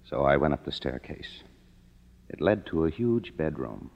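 Sardonic broke screenwriter, 1950s radio drama, muffled sound, long reverb. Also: male voice, rumbling low, big pitch swings, unhurried, creaky, full character voice. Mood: uneasy narration. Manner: sardonic, hesitant, colloquial. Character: wry, down-on-his-luck male Hollywood screenwriter.

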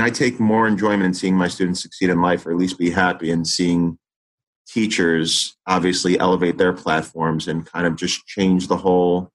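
A man's voice: low-pitched, fairly bright tone, poor audio. A man in his forties, a teacher, talking earnestly and reflectively in an interview, often restarting his sentences. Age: 40s. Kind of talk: interview conversation.

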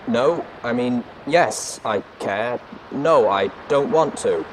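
A man plays a shy storybook robot with a tinny, artificial sound. He speaks loudly but haltingly, unsure and reluctant. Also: medium pitch, animated, slight background noise, voice pushed up. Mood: reluctant, flustered. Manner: hesitant, halting.

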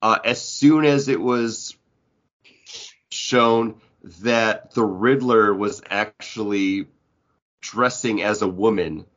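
Male co-host, lower register, jokey and mock-outraged, conversational, muffled lo-fi recording. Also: slow pace, a bit bright. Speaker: podcast co-host. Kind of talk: casual banter.

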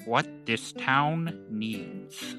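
A man's voice: medium pitch, animated, very slow, pushed and bright, faint music behind. A man performing scripted comedic dialogue in an audio drama. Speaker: show cast member.

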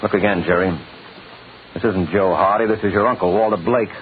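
A man's voice: sits low, fairly even intonation, brisk, natural voice. A man playing a brash, wisecracking American private detective in 1940s radio drama style, clipped, sardonic and pressing. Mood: brisk, deducing. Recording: tinny old radio recording, faint hiss.

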